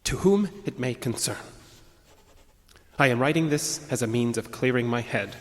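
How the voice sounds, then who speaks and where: An actor playing an agitated, arrogant man with violent urges, narrating his journal in a deliberate, formal way for an audio drama. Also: mid-range voice, lightly acted. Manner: pompous, deliberate, brooding, formal phrasing. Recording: long reverb, music underneath.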